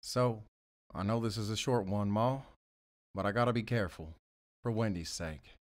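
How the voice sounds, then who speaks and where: A man playing a weary, soft-hearted ex-convict handyman in an audio drama, talking in a measured, folksy way with long pauses. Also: on the low side, close to an everyday voice. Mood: subdued.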